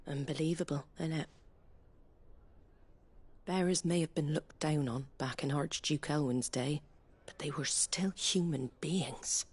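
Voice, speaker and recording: An actress playing a weary, plain-spoken middle-aged innkeeper in a video game. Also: female voice, low-pitched, measured pace, a little mellow, breathy edge. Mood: bitter. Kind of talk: dramatic video game acting. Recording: guitar music behind the voice.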